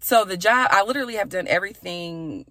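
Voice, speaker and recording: A woman in her thirties hosting a podcast from her car, chatty and raspy, saying a prayer for her listeners. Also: medium pitch, fast, no room sound. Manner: chatty and warm, with "y'all" and "okay". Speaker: podcast host. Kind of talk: casual chat with prayer.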